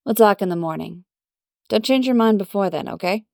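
A woman's voice: mid-range voice, fairly quick, vocal fry, darkish tone, no room sound, natural voice. Lady doing a brash young american working girl in a story, slangy, teasing but sweet, dry recording. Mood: fond.

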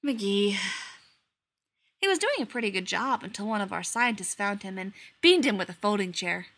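A man's voice: high voice, lively intonation, normal speed, bright, forceful tone, full character voice. A woman voicing a laid-back male air force colonel who drawls his words and keeps a dry tone. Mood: wry.

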